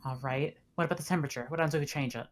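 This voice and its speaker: A woman's voice: sits low, racing, a little hiss or hum, close to an everyday voice. A woman playing a commanding, skeptical spaceship officer in a table read of a sci-fi horror script.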